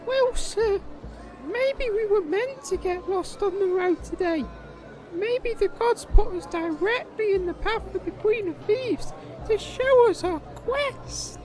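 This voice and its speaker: A woman's voice: very high-pitched, slow pace, darkish tone, faint music behind. A woman playing a meek, loyal, hesitant boy squire in a comedic fantasy audio drama. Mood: meek, earnest. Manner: polite, hesitant, deferential.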